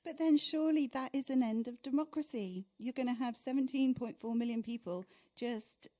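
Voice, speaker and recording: A woman's voice: on the high side, moderate pace, mellow. A woman hosting a radio interview, arguing with her guest and pressing him with pointed questions. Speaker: radio host.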